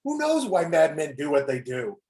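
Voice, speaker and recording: A man's voice: on the high side, wildly swooping pitch, moderate pace, a little mellow. A man playing a cocky, argumentative superhero soldier with a needling, self-righteous manner in an amateur table read.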